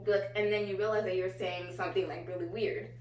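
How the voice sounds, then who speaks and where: A young adult woman with an American accent hosting a casual chat in an informal, halting way. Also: mid-range voice, fairly even intonation, recorded from a distance. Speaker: vlog host, a daughter. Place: small living room, on a couch. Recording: roomy, off-mic, faint hum.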